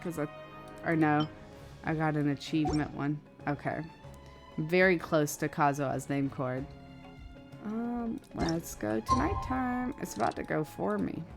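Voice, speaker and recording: A woman doing casual live game commentary. Her voice is on the low side and her talk is chatty and full of slang. Game music plays clearly behind her. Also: a little slow. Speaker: game streamer. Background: video game music.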